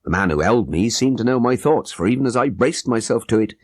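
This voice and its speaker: Male narrator as ex-soldier telling his crime; husky, old-fashioned, earnest, dramatically swooping delivery. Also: mid-range voice, animated, brisk, darkish tone, no echo, studio quality, pitched above the performer's own voice, close to an everyday voice. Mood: tense recounting. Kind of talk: audiobook character narration.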